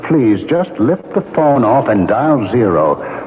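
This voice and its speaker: A man's voice: medium pitch, sing-song. Solemn echoing male ghost. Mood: grave, urgent. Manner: measured, formal, sermonizing. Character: male ghost, century dead, grave, moralizing, solemn. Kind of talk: classic radio mystery acting. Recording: echoey, noisy, tinny old radio broadcast.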